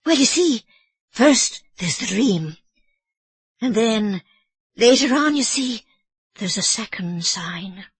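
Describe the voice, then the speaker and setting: A fretful elderly Irish housekeeper, as a woman reader puts her on, pitched a bit higher than the narration, with a husky edge in a dry, close sound. She is meek and apologetic, forever adding sir, and her pitch rises and falls a lot. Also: expressive, slow pace, long pauses, no echo, heavily acted. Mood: hesitant. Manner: apologetic, deferential, sir-ing constantly.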